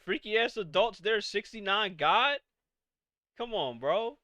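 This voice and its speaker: High, bright-voiced exasperated young man. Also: lively intonation, unhurried, no echo. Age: 20s. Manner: exasperated, profane, rhetorical questions. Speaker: podcast host. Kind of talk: live-streamed casual rant.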